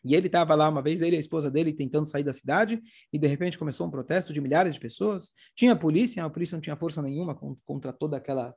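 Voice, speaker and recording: A Brazilian man, hoarse with a cold, telling religious stories informally and acting out the dialogue as he goes. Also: on the high side, rapid-fire, darkish tone. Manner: acts out dialogue while retelling. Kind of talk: animated informal religious storytelling talk.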